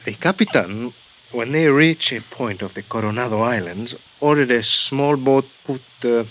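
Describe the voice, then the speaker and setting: A man playing a Mexican doctor, halting English. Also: mid-range voice, fairly bright tone, highs cut off, lightly acted. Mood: earnest. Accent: Mexican Spanish. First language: Spanish. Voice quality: halting, searching for English words. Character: Mexican doctor, helpful and bewildered. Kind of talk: 1950s radio drama acting. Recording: old radio broadcast recording.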